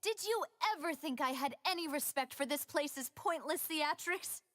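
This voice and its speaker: High-voiced, defiant young noblewoman. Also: moderate pace, fairly bright tone, no echo. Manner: assertive, dramatic, articulate. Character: bold, defiant young noblewoman challenging a court. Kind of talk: video game voice acting.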